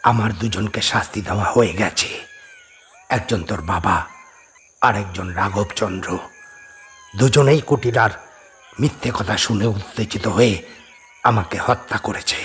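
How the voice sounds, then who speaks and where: A man's voice: on the low side, moderate pace, long pauses, a bit bright, a little hiss or hum, close to an everyday voice. A man playing a stern, vengeful spirit of a holy man in a horror audio drama, speaking with solemn, commanding emphasis. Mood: grim, resentful.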